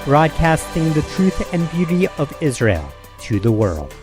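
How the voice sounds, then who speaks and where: A man's voice: a little high, big pitch swings, measured pace. A man reading a scripted radio network promo in an upbeat announcer style. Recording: promo with clear music bed.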